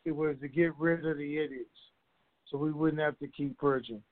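A man's voice: fairly high pitch, steady tone, moderate pace, a little hiss or hum. A man hosting a conference call, talking informally and in a rambling, conversational way to his listeners. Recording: dull, lo-fi conference call line.

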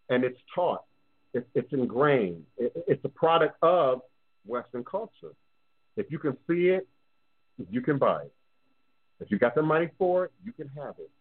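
A man's voice: medium pitch, big pitch swings, a little slow, long pauses, breathy edge. A man with a New Orleans accent, hosting a live call-in talk show as a voodoo priest, speaking emphatically and repeating phrases for stress. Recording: lo-fi phone-line sound.

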